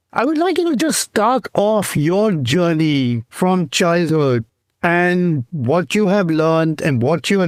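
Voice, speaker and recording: A male podcast host with a high voice that moves up and down a lot. He asks loose, rambling questions and often restarts his sentences. The sound is dry and close. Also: dry recording. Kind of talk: interview questions.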